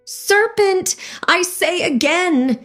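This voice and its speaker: Storybook pigeon hen, shrill and scornful, voiced by a woman reader. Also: lively intonation, takes it slowly, a little mellow, faint music behind. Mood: complaining. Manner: shrill, sobbing complaints. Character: fretful contemptuous female pigeon, shrieking.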